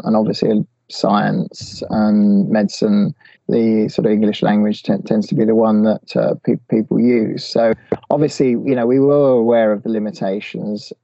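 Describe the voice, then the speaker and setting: A man's voice: lowish voice, gravelly, mellow, no echo, muffled recording. A man answering interview questions, hesitant, with many restarts and fillers. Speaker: guest expert.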